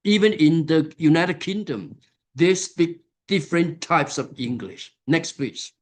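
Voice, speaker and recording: Male keynote speaker, higher voice, slow and deliberate, lots of "uh" and "you know". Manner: unhurried, many fillers and pauses. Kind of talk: academic conference lecture. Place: conference hall.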